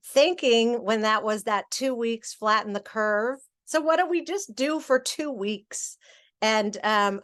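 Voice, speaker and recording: A middle-aged woman walks a group through her training slides. She talks in a loose, chatty way, full of fillers and restarts, at an ordinary middle pitch. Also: a bit bright, clear, resonant tone. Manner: chatty, many fillers and self-corrections. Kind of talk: training presentation.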